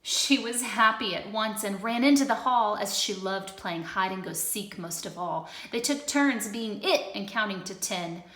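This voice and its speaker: A woman teacher reading a rhyming picture book aloud, expressive, moving between loud lines and hushed ones. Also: medium pitch, unhurried, few pauses. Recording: roomy echo.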